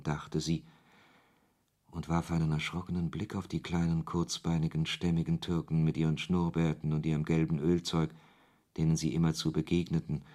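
A man's voice: very deep voice, a little mellow. A man reading scripted literary narration as the narrator of a radio play. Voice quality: husky.

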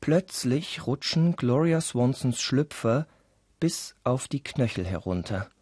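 A man narrating a scripted radio play with deliberate, dramatic storytelling. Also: medium pitch, animated, a little mellow, breathy edge, dry recording, studio quality.

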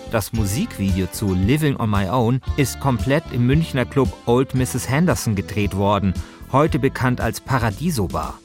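A man reading colloquial scripted narration as the narrator of a podcast. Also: mid-range voice.

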